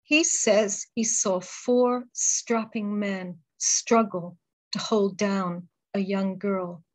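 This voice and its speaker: A woman poet reading her poems aloud and talking between them, in a measured, deliberate way with pauses between phrases. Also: mid-range voice, steady tone, a little mellow. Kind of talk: poetry reading.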